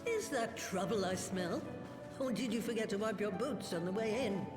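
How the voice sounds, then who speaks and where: A woman acts out an aged, sardonic sorceress for a fantasy game. Her voice swoops up and down with brusque impatience, set in a roomy echo with loud music behind. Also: mid-range voice, lively intonation, moderate pace, far from the mic, natural voice. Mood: scornful. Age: older. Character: crotchety, sharp-tongued old sorceress, a master of magic. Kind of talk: scripted fantasy game acting.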